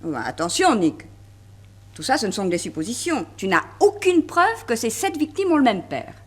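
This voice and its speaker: An actress playing a detective's lively, skeptical female partner with a French accent, expressive and playful in 1960s radio drama style. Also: a little high, normal speed.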